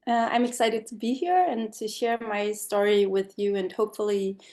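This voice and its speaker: A woman's voice: medium pitch, fairly even intonation, moderate pace, darkish tone. A middle-aged woman from Denmark giving a presentation over a video call, speaking thoughtfully with many fillers. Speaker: guest speaker. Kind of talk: online presentation talk.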